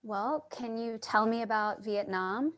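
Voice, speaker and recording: A woman's voice: fairly high pitch, measured pace, lightly acted. A woman playing an earnest, sympathetic, somewhat naive writer in a staged reading, sincere and a little hesitant. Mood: curious, earnest. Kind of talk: staged reading with first-person narration.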